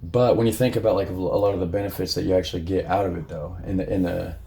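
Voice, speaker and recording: A Midwestern American man with a low, gravelly voice. He co-hosts a relaxed reaction chat, wandering through his points with plenty of fillers and restarts. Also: fast talker. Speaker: reaction channel co-host. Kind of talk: casual chat reacting to a video.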